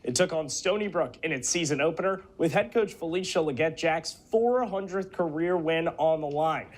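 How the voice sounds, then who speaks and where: A man's voice: on the high side, normal speed. A man working as a sports reporter and announcer, delivering game news and commentary in a punchy, emphatic way.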